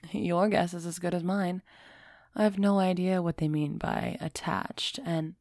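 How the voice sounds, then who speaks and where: A woman acts out a commanding female vampire in an ASMR audio drama. She speaks slowly and deliberately, with a gravelly fry under her soft, teasing authority. The sound is dry and intimate, with no room around her. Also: on the low side, steady tone, no echo. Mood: cool, commanding. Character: aloof female vampire, commanding, teasing, warming to human captive.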